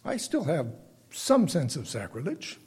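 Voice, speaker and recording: An older man with a bass singing voice gives a reflective, prepared lay sermon to a congregation, speaking deliberately and haltingly with pauses. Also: huge pitch swings, a little mellow, breathy edge. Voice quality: self-described bass voice.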